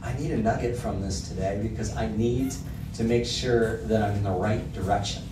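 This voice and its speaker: A man's voice: fairly low pitch, steady tone, moderate pace, background noise. Middle-aged male pastor in an echoey hall. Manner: reads song lyrics aloud with emphasis, pointing at the screen. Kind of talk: sermon. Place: church hall with projector screen. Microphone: handheld mic, filmed from the back of the room. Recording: echoey hall, mic heard from a distance.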